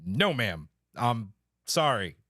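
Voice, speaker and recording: A man playing a self-important starship commander in an amateur table read of an animated sci-fi comedy script. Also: medium pitch, slow, no echo, studio quality, exaggerated voice. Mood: cowed. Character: self-important male commander, easily cowed.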